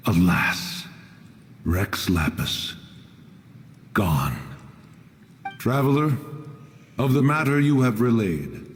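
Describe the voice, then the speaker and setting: Slow, stately ancient immortal. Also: male voice, lowish voice, slight background noise. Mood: mournful. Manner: formal, archaic, lofty, calls self "one". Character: ancient, stern, haughty male immortal guardian of the mountains. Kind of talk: fantasy video game dialogue. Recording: long reverb, music behind.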